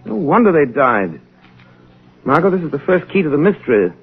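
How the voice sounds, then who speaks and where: Mysterious sleuth, grave, authoritative, deliberate formal diction, 1930s radio drama, thin old broadcast sound. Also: male voice, fairly high pitch, sing-song, husky, heavily acted. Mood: intent. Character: composed, authoritative male crime-fighting sleuth, grave and mysterious. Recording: muffled, narrow-band 1930s radio recording.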